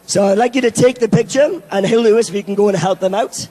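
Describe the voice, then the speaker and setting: Hoarse Welsh man presenting on stage. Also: high-pitched, fast talker, warm tone. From Wales. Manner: upbeat, self-deprecating, filler-heavy. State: hoarse, lost his voice. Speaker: event technology presenter. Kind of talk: conference presentation. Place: conference hall.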